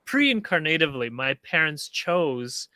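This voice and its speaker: A man from Canada hosting a discussion group, telling casual personal anecdotes in halting, hesitant phrases. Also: fairly high pitch, big pitch swings, sharp tone, dry recording.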